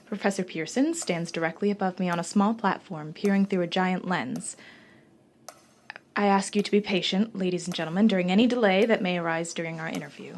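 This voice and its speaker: A woman's voice: mid-range voice, lightly acted. A young woman playing a poised, curious reporter doing formal live reporting in a radio play. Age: young adult. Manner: formal, clearly enunciated, scripted.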